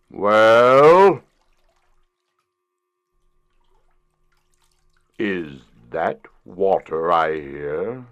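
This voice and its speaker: A man's voice: on the high side, a bit bright, exaggerated voice. A man in a comic audio drama playing an overbearing middle-aged company boss, pompous and emphatic. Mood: indignant, demanding.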